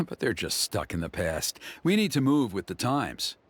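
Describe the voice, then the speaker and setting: Animated, ceremonious game elder. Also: male voice, fairly low pitch, slight background noise. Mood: pleased, businesslike. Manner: formal, measured, approving. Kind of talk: video game character acting.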